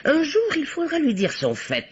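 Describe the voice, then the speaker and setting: A woman's voice: high-pitched, huge pitch swings, normal speed. Wry, swooping lady sleuth Blanche. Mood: wry, conspiratorial. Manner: dry, mocking. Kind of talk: old French radio mystery acting. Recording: dull, noisy old radio recording.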